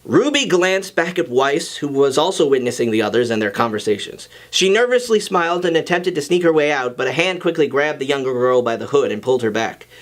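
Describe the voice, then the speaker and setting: A man's voice: mid-range voice, a little fast, hardly any pauses. A man reading fan fiction aloud as a host, narrating expressively and acting out the dialogue. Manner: expressive, acts out characters' dialogue. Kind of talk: dramatic story reading.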